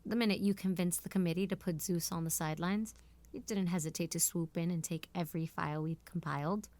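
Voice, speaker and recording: A woman's voice: fairly low pitch, fairly even intonation, brisk, a little mellow, lightly acted. A woman playing a defiant, no-nonsense military strategist in a scripted drama, speaking in a firm, assertive way. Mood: angry, defiant. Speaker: voice actor in audio drama.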